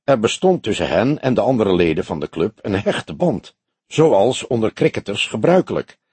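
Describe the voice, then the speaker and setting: Audiobook role: composed, frank older lady played by a male reader, soft-spoken, thoughtful, animated pitch, close dry recording. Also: breathy edge, no room sound, exaggerated voice. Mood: frank, measured. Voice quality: soft, friendly voice. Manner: measured, genteel, thoughtful. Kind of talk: audiobook character dialogue.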